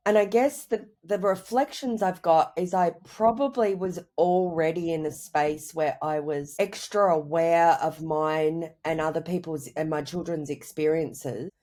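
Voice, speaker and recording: A woman with a cold, sounding croaky, answering interview questions over a video call in a reflective, rambling way. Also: low-pitched, fairly even intonation, unhurried, few pauses, mellow. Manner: reflective, rambling with many "you know" and "I guess". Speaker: guest, writer and mother. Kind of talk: candid conversational interview answers.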